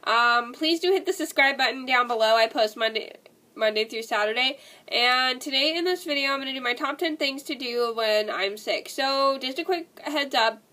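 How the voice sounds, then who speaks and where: A young woman talks to her camera with a cold, her nose blocked and stuffy. Her voice sits a little high and comes through bright and sharp. She rambles, circles back and fills gaps with "like" and "and stuff". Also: normal speed. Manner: rambling, repeats herself, many "like" and "and stuff" fillers. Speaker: vlogger. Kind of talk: casual talk to camera.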